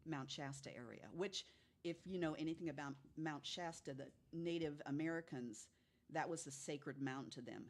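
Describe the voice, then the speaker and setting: Low-voiced woman telling mystery stories. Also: moderate pace. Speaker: podcast guest telling stories. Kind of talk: casual storytelling of unexplained mystery cases.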